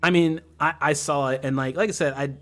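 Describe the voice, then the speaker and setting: A man's voice: a little high, fairly even intonation, brisk, a bit bright, faint music behind. An American man hosting a casual livestream chat, talking in an impassioned, slangy way and swearing freely. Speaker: livestream host.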